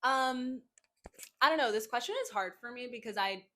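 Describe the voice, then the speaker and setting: Blunt twenty-something woman on a podcast, bright voice, swears casually. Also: fairly high pitch, moderate pace. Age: 20s. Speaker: podcast co-host. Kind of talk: casual chat.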